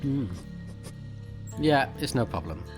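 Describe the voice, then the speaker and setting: A man's voice: mid-range voice, expressive, slow pace, over music. A man giving casual commentary as he plays a game, reacting and reading text aloud. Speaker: let's play host.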